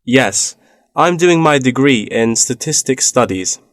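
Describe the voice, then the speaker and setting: A man's voice: medium pitch, lively intonation, unhurried, no room sound, studio quality, lightly acted. A man playing a polite, inquiring university student looking for part-time work in a scripted language-test dialogue.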